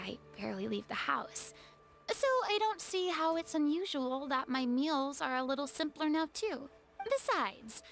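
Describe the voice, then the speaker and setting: A woman's voice: high-pitched, vocal fry, a bit bright, exaggerated voice. An actress voicing a proud, theatrical young woman who was once a goddess, with a haughty, dramatic delivery. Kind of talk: game voice acting.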